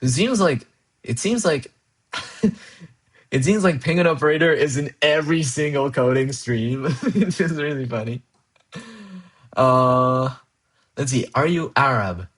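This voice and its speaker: A man's voice: medium pitch, a little slow, long pauses, fairly bright tone, breathy edge. A young man from Colombia whose first language is Spanish, chatting casually while coding on a live stream, rambling with fillers and restarts and laughing now and then. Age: young adult. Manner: rambling, thinks aloud with many fillers and restarts; laughs often, reads chat aloud. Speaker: livestreaming programmer.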